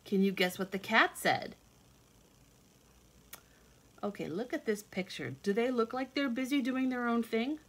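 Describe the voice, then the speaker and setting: Expressive woman reading to children. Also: fairly high pitch, unhurried, pauses often. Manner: expressive, simple teacherly phrasing with questions. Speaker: children's read-along teacher. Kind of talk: picture-book read-aloud with friendly explanations to children. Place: indoors at home, kitchen and a room.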